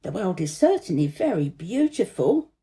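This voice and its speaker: An eager little storybook firework, brought to life by a woman, naive and self-pleased. The voice is soft and mellow with bouncy, animated pitch. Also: moderate pace. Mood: delighted.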